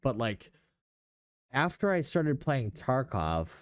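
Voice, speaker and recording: A man's voice: medium pitch, sing-song, takes it slowly, no echo. Young man chatting in a dry-sounding space. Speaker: podcast host. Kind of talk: casual gaming chat.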